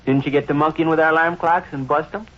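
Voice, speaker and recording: An actor in an old-time radio comedy playing a shrewd, good-natured teenage son with folksy, slangy, suspicious talk. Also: male voice, on the high side, steady tone, fast talker, natural voice. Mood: knowing, teasing. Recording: dull, muffled old radio recording.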